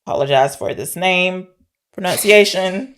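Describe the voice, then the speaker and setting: A woman's voice: fairly low pitch, unhurried. A woman co-hosting a podcast, chatting informally about films and thinking aloud as she goes. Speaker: podcast co-host discussing films. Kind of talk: casual film discussion.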